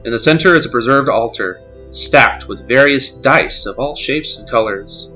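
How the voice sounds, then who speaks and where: Bright-voiced male fantasy narrator. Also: medium pitch, moderate pace, low-quality recording. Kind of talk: scripted fantasy narration, podcast intro. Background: plucked guitar music.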